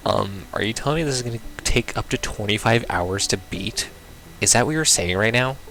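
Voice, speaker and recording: A man's voice: fairly low pitch, animated, brisk, a bit bright. A man playing through a visual novel, reading it aloud and commenting casually with sardonic, blunt reactions. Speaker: let's player. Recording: music behind the voice.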